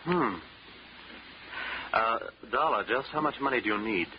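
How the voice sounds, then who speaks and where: An actor playing a greedy, idle gambler husband in a 1940s radio drama, speaking gruffly and curtly. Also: male voice, medium pitch, expressive, measured pace, muffled recording, close to an everyday voice. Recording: old radio broadcast with loud hiss.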